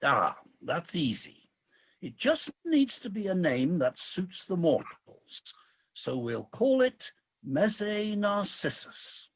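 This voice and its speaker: Guy doing old king of the gods, fed up and grumbly, husky, swoops up and down, radio comedy. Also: high-pitched, sing-song, takes it slowly, full character voice. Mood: scheming, brighter. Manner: theatrical, grumbling, world-weary. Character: weary, disillusioned ageing male king of the gods. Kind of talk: comic radio play acting.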